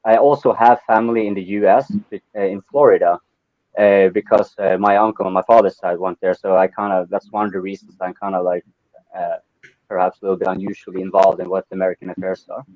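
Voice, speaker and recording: A man's voice: low voice, fairly even intonation, quick pace, no echo, lo-fi sound. A man from Norway with a Norwegian accent, rambling through a casual political chat with many restarts and fillers. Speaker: participant in an online open mic.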